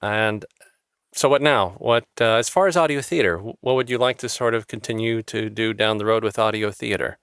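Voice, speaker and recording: A man hosting an interview podcast, talking in a halting way with many restarts and fillers. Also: fairly low pitch, a bit bright, clear, resonant tone, no room sound, studio quality. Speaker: podcast host. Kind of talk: interview chat.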